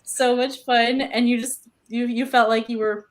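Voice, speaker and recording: Woman, fairly high-pitched, enthusiastic casual storyteller. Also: little pitch movement, unhurried. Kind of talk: casual anecdote in group chat.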